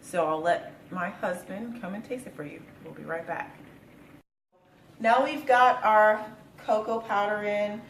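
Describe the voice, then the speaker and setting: A woman giving a relaxed, chatty recipe demonstration at home, talking with plenty of fillers. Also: mid-range voice, reverberant, distant mic, slight background noise, poor audio. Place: home kitchen.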